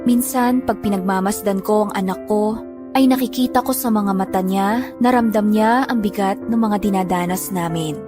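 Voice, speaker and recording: Actress as long-suffering mother, medium-pitched, earnest, sorrowful dramatic narration, clear music bed. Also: moderate pace, natural voice. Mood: sorrowful, resolute. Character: long-suffering mother narrating her own hard life.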